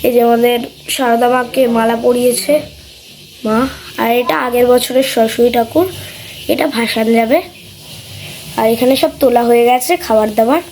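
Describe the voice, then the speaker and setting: High-voiced woman vlogging over background noise. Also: steady tone, moderate pace, long pauses, darkish tone. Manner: points things out one by one. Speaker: vlog host. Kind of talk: casual show-and-tell vlog narration.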